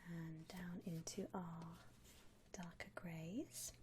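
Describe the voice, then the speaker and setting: A woman playing a gentle vampire coffin saleswoman in a whispered ASMR roleplay, unhurried, with hesitant pauses and soft hums. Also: fairly low pitch, steady tone, noisy background. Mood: soft, attentive. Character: softly whispering female vampire coffin saleswoman, attentive and discreet. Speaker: ASMR performer playing a shop consultant.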